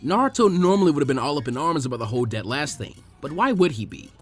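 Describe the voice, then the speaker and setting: A man reading a story aloud as expressive scripted narration. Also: fairly high pitch, fast, slightly rough, faint music behind. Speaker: narrator of fan fiction readings.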